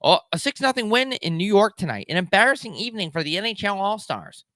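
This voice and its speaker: A man voicing an emphatic television sports announcer, crisp and declarative in delivery. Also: high voice, very animated, fast talker, pushed and bright, no echo, full character voice. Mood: grave, impressed.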